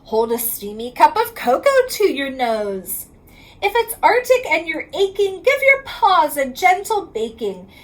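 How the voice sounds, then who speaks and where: A woman's voice: high voice, sing-song, a bit bright. A woman reading a rhyming picture book aloud to children in a sing-song way, drawing out the ends of lines. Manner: sing-song rhyming delivery, drawn-out line endings.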